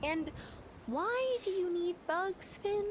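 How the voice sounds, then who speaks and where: Friendly young farmer gal from a video game, high lively voice, conversational, bit of noise. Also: female voice, slow pace, exaggerated voice. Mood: friendly, curious. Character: friendly young woman farmer, newcomer from city.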